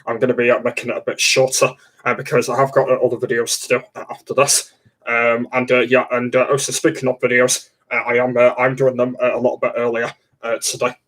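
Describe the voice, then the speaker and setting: A man's voice: mid-range voice, monotone, fast talker, fairly bright tone. A young man, chatting casually on a home livestream and stumbling through constant "uh" fillers and restarts. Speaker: livestream host. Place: dark room at home, indoors.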